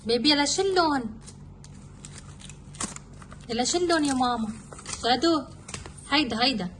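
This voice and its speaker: Lebanese mom doing casual diy kids craft vid, highish voice, bit of background noise. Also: female voice, expressive, moderate pace, a bit bright. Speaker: mother making kids' craft videos. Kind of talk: casual homemade craft demonstration, talking to her baby.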